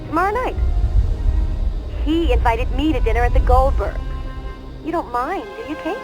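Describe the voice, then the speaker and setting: Game role: gossipy young female friend, very high voice, swooping expressively, needling and gushy. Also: animated, moderate pace, over music. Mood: teasing, defensive. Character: chatty, teasing, gossipy young woman, heroine's best friend. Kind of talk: video game voice acting, phone conversation.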